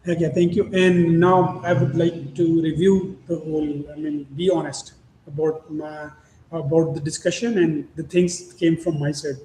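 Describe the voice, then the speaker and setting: Halting high-voiced male host, echoey room. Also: little pitch movement, warm tone. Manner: halting, many restarts and fillers, laughs often. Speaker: podcast host. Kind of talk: casual interview chat. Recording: echoey room with faint ambient noise.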